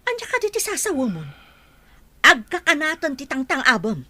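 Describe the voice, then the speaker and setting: A woman playing an emotional, proud mother and lady of the house in a radio drama, with a husky voice. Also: high voice, huge pitch swings, moderate pace, bright, forceful tone, dry recording, heavily acted. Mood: indignant. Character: proud adult daughter and mother, mistress of mansion.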